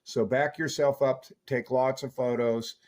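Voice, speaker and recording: A man's voice: mid-range voice, fairly even intonation, unhurried, darkish tone. A man narrating a technical review and repair guide in an emphatic, opinionated, folksy way. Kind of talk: explanatory voice-over for a technical review.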